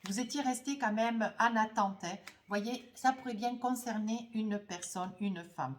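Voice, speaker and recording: A woman's voice: on the high side, a little slow, a little hiss or hum. Woman with a cold, rambling tarot reading. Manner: rambling, hesitant, full of "hein" and "voyez" tags. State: has a cold, runny nose. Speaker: tarot card reader. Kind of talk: spoken tarot reading to viewers.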